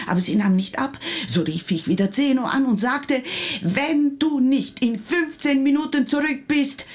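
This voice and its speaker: An actress playing an agitated, pleading Italian wife in a German radio play, with an Italian accent and emotional delivery. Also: female voice, a little high. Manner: emotional, slips into Italian exclamations.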